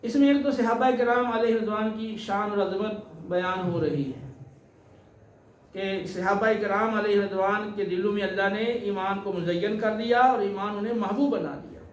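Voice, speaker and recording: A man preaching an Islamic sermon, explaining scripture in a deliberate, repetitive teaching manner. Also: high voice. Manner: deliberate, explanatory, repeats phrases. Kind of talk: sermon with Quran recitation and explanation. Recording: roomy echo with faint background noise.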